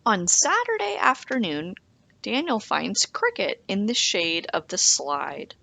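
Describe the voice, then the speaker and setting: Female storybook reader, animated pitch, unhurried pace, children's narration. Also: creaky, no room sound.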